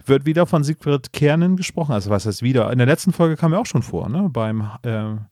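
A male podcast co-host with a mid-pitched voice in a very dry recording. He comments casually on a story and likes to interject in a joking way. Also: expressive, a little fast, darkish tone, no room sound.

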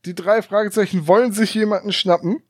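A man's voice: sits high, steady tone, fairly quick, no room sound. A man co-hosting a podcast in casual chat, making wry, dry jokes. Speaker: podcast co-host. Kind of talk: casual chat reviewing an audio drama.

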